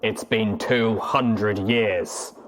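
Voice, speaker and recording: A man playing a gruff, stoic, weary soldier pony with a slow, measured delivery, for a story reading. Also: lowish voice, fairly even intonation. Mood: shocked, hurt. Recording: clearly noisy track with clicks.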